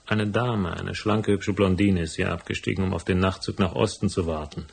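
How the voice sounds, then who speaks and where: Gravelly, low-voiced hard-boiled private eye. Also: male voice, steady tone, moderate pace, exaggerated voice. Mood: cool, probing. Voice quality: gravelly, husky. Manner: dry, laconic. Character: hard-boiled male private detective, dry and probing. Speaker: first-person narrator and lead character. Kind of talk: noir radio drama acting and narration.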